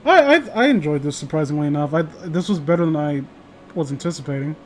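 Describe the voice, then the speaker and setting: A man's voice: on the high side, lively intonation, fast talker, a little mellow. A man hosting a casual discussion of horror stories, rambling, joking and swearing freely. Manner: rambling, foul-mouthed, joking. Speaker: host of a horror story reading show. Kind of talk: casual chat and commentary on stories. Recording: noisy home recording, clear background hiss.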